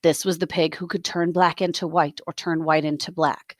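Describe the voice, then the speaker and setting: A woman reading a story aloud as a teacher, expressive, with explanatory asides. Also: sits low, moderate pace, dry recording, clean studio sound.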